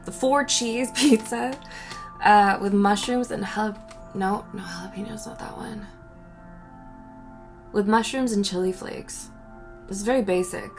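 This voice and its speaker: A woman's voice: medium pitch, measured pace, pauses often, soft background music. A young woman answering viewer questions in a rambling, casual chat, breaking off mid-sentence and laughing between thoughts.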